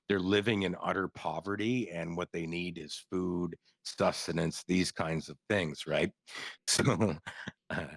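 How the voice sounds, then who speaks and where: Deep-voiced laid-back Canadian man. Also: creaky, husky, no room sound, studio quality. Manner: laid-back, laughs often, swears casually. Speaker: guest, former soldier. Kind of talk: casual podcast storytelling.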